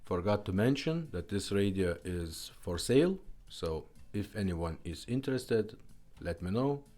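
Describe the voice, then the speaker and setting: A man's voice: lowish voice, animated, measured pace, mellow. A man who speaks as a gives a casual, informal product demonstration with hesitant phrasing. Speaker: car radio restorer presenting a product.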